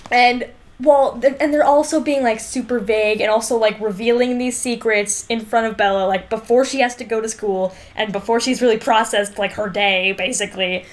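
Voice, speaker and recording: Female co-host, higher register. Casual podcast banter, sardonic and exasperated. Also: steady tone, normal speed, few pauses. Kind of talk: casual book discussion podcast chat.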